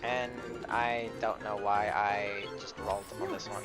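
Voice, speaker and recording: A young man streaming a game with casual, halting, slangy commentary. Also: on the low side, flat delivery. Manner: laid-back, halting, slangy. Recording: game music underneath.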